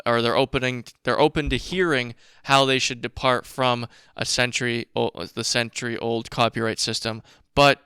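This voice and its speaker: Guy hosting a commentary show, super clear bright voice w a bit of rasp, sweary and casual, dry close sound. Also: mid-range voice, no echo. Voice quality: raspy. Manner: blunt, profane, informal. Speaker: show host. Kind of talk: casual commentary, reading documents aloud.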